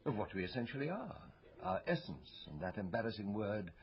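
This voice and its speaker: Radio drama doctor, male: reflective, digressive, faintly pompous, expressive pitch, lo-fi sound. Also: brisk. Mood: lofty, reflective. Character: educated, musing male doctor and lone amateur investigator. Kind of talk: naturalistic radio drama acting. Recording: dull lo-fi radio recording.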